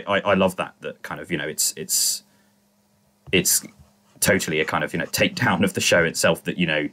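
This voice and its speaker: A man in casual analytical podcast discussion, speaking haltingly and repeating words as he searches for phrasing. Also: low voice, fairly bright tone. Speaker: podcast panelist.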